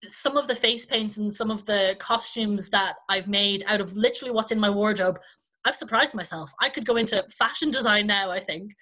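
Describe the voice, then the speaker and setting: A woman's voice: mid-range voice, normal speed, bright, forceful tone, low-quality recording. An Irish woman, a children's performer hosting a podcast, chatting casually. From Ireland.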